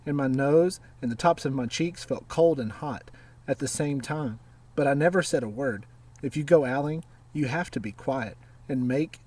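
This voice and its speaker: Male storybook reader, slow and measured, recorded a little way off the mic. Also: mid-range voice, a little mellow, distant mic. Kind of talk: read-aloud children's storytelling.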